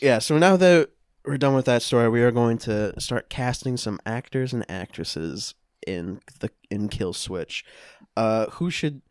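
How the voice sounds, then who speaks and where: Young podcaster bantering casually, swears a bit, very lively pitch, dry recording. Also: a little slow, clean tone, dry recording. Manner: profane, informal. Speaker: podcast co-host, improviser. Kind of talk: casual improvised banter between friends.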